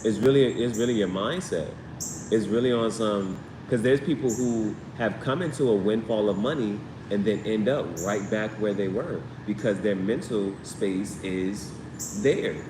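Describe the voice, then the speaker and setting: A man hosting a casual live stream as a tarot reader, rambling with slangy asides and repeating himself as he goes. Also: moderate pace. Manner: repetitive, tangential, slangy asides. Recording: roomy, distant mic, noisy background with vehicle rumble.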